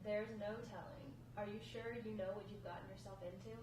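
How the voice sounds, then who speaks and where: A woman's voice: medium pitch, steady tone, fairly quick, lightly acted. Woman reading as a worried wife, very echoey room. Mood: doubtful, challenging. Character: Lauren, worried, doubting wife of a gang recruit. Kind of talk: script table read. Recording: very echoey room, distant mic, faint noise.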